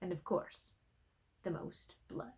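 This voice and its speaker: A woman reading a storybook aloud in an expressive, dramatic way, letting her phrases drop into a hush. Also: slow, heavy background noise. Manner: dramatic, with hushed phrase endings. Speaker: storybook reader. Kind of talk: expressive read-aloud narration.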